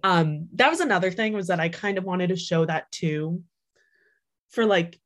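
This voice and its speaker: Young adult woman, lower pitch, chatty interview guest who fills with ums and restarts. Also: normal speed. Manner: chatty, many fillers and restarts, laughs often. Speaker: author guest. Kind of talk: casual interview talk.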